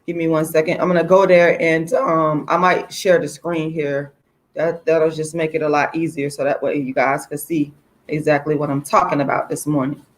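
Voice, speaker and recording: A woman hosting a casual livestream, speaking to viewers in an informal, outspoken, emphatic way with a slightly hoarse voice. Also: low voice, little pitch movement, moderate pace. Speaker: livestream host, activist.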